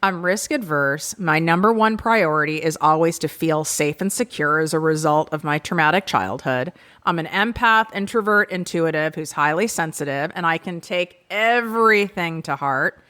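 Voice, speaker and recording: A woman hosting her own podcast, talking frankly and with self-deprecating humor in an energetic solo monologue. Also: deep voice, moderate pace. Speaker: podcast host.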